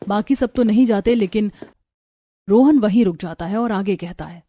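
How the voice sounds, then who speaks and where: A woman's voice: medium pitch, normal speed, warm tone, no room sound. A woman narrator reading a scripted family story for a cartoon.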